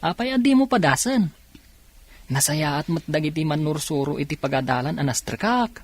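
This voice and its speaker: A woman with a husky voice playing a caring, coaxing young factory worker in a radio drama. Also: deep voice, animated, moderate pace, dry recording, full character voice. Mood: teasing, encouraging. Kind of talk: Ilocano radio drama acting.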